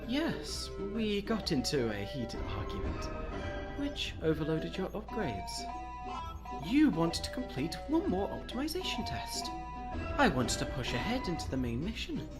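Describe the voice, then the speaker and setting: A man's voice: high-pitched, huge pitch swings, a little slow, over loud music, heavily acted. A man voicing a scheming mad-scientist platypus in a comic dub, pompous, articulate and condescending in a theatrical way. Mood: placating.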